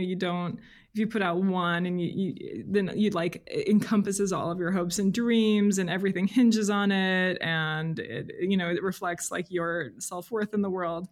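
A woman talks casually as a guest in an interview. Her mid-pitched voice has plenty of creak and fry. She is reflective and a little hesitant, circling toward each point. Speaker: podcast guest, freelance journalist.

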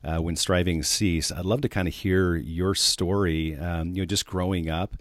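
A man hosting a podcast interview, speaking earnestly and hesitantly with many pauses. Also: deep voice, steady tone, no room sound, clean studio sound. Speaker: podcast host.

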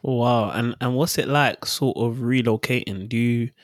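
A man hosting a podcast interview, reading a steady introduction and asking questions. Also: mid-range voice, unhurried, dry recording, studio quality. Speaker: podcast host. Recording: music under the voice.

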